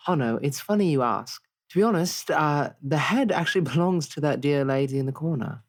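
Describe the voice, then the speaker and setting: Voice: mid-range voice, lively intonation, brisk. A player voicing a genteel, breezy crew member in a tabletop game, speaking in a polite, understated, wry way.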